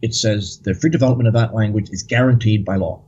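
A man's voice: lowish voice, quick pace, a little mellow, husky, dull audio. A man as a guest commentator, talking in a rambling, hesitant way with many fillers. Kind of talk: casual political discussion.

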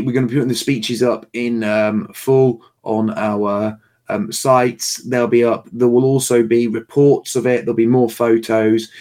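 A man with a slightly hoarse, tired voice chatting casually and haltingly as the host of a livestream. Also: mid-range voice, darkish tone. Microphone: livestream call microphone.

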